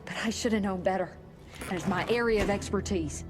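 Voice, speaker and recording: A woman playing a remorseful, earnest scientist in a video game, speaking in a hushed, regretful way. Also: mid-range voice, brisk, lightly acted. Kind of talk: dramatic video game acting. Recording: clear music behind.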